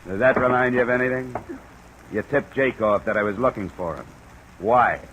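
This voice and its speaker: An actor playing a tough, wry American private investigator in an old radio noir drama, narrating and talking tersely. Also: male voice, mid-range voice, normal speed, close to an everyday voice. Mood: angry, demanding. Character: hardboiled male private investigator, dogged and dry. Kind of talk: 1950s radio noir acting and first-person narration. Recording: noisy old radio recording, steady hiss.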